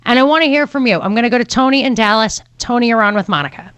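A woman's voice: on the high side, very fast, a bit bright, clear, resonant tone, dry recording. A woman hosting a talk radio show, giving her views in a conversational, opinionated way with fillers as she thinks aloud. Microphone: studio microphone.

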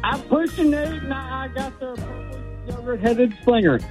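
A man's voice: very high-pitched, normal speed. A man in his thirties calling into a radio show, bashful and joking, laughing often. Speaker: caller. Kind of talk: phone call to a radio show. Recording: tinny phone line, faint music.

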